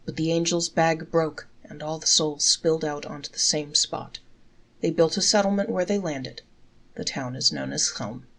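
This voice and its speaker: A woman reading folktales aloud in a measured, articulate storybook narration. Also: sits low, steady tone, a bit bright.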